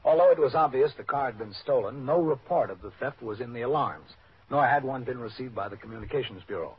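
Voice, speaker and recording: A man playing a steady, matter-of-fact police captain in a scripted old-time radio drama. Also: mid-range voice, quick pace, husky. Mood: factual, measured. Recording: dull, noisy old radio recording.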